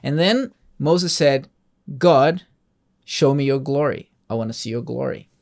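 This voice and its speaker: A man's voice: mid-range voice, a little slow, vocal fry. A man hosting a Bible reading, patiently explaining scripture to a child with coaxing questions. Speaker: host of a Bible reading show.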